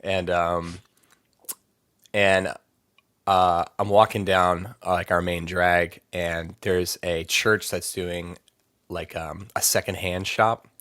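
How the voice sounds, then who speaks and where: A man's voice: deep voice, little pitch movement, takes it slowly, a bit bright, dry recording, studio quality. A young man from Maryland chatting casually as a podcast guest, rambling with lots of fillers and laughing easily. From Maryland, USA. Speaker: podcast guest, metal vocalist. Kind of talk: casual interview chat.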